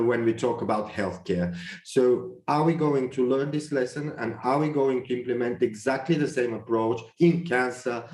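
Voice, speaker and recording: A man making an earnest policy argument as a panelist, halting as he searches for words. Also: on the low side, a little mellow. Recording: echoey room sound.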